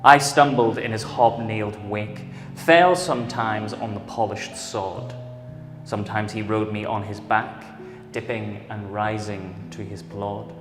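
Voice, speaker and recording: A man recites poetry slowly, in measured phrases. His voice echoes in a reverberant hall, with plucked guitar clearly playing behind him. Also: on the low side, steady tone. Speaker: poetry reader.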